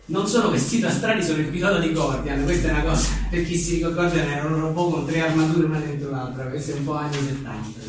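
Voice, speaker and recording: Echoey, higher-pitched man joking drily. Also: fast talker, few pauses. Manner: wry, joking, with offhand asides. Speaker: journalist and author presenting his book. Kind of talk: reading out comic one-liners at a live book presentation. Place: live book presentation in a reverberant room. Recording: echoey room, distant mic, faint noise.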